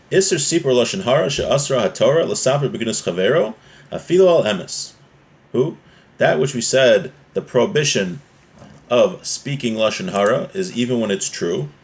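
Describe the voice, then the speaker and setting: Male religious teacher, mid-pitched, informal and explanatory, moving between reading a legal text and commenting on it. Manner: explanatory, often checking with "right?".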